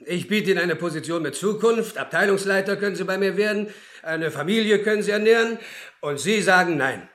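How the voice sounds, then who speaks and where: An actor playing a businesslike, patronising company director, easily affronted, in a German radio play. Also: male voice, high voice, fairly quick, fairly bright tone. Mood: puzzled, affronted.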